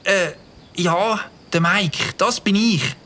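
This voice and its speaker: A nervous young boy, voiced by a woman hesitates and stammers in a Swiss German accent. His speech is slow and careful. The delivery suits a children's audio drama. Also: medium pitch, fairly bright tone, slight background noise.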